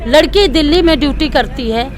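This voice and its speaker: A woman's voice: high-pitched, fairly quick. A woman gives an impassioned street interview, emphatic and repeating her points. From Delhi, India. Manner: emphatic, repetitive. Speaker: local protester interviewed. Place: outdoors at a protest. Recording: outdoor street with crowd noise.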